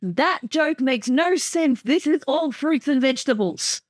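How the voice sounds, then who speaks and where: A woman's voice: sits high, expressive, measured pace, no echo. A woman giving casual episode commentary as a creator, blunt and sweary. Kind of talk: casual director's commentary chat.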